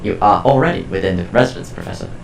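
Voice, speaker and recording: A cold household artificial intelligence played by a man speaks low and very gravelly. It rattles out formal, precise phrases without emotion, echoing over music in an audio drama. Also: lively intonation, fast. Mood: detached, explanatory.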